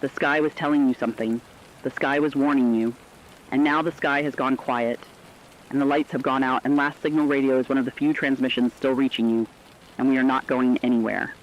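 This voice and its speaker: A man's voice: medium pitch, fairly even intonation, brisk, slight background noise, close to an everyday voice. A man playing the earnest, solemn host of a last surviving radio station, telling the story as scripted dramatic broadcast narration. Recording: slightly dull lo-fi radio sound.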